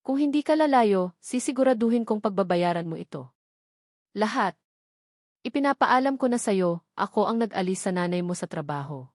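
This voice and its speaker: A woman's voice: medium pitch, animated, moderate pace, darkish tone, no room sound, lightly acted. An icy, commanding matriarch of a wealthy family, as a woman narrator puts her on in a dramatic audio story. She is older, haughty and threatening, and the sound is dry with little room to it. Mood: angry, threatening.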